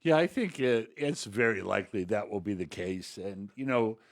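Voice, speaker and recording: A man's voice: on the low side, lively intonation, normal speed, dry recording, clean studio sound. A man co-hosting a talk show with conversational political commentary, hesitant and rambling, often repeating himself.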